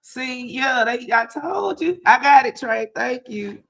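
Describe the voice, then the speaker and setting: A woman's voice: high-pitched, lively intonation, slow pace, vocal fry, a bit bright. A woman co-hosting a live stream, chatting casually in a commanding, lecturing tone. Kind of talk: casual commentary chat.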